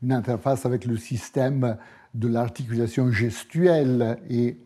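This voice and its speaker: A male professor whose first language is Italian, giving a university lecture at a measured pace with frequent hesitations and fillers. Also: mid-range voice, steady tone, warm tone, breathy edge. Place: lecture hall.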